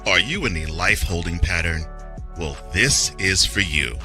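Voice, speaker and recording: A male announcer reads a scripted promo in a low, very forceful voice. His delivery is highly animated, swinging up and down with punch. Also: a little slow, faint music behind.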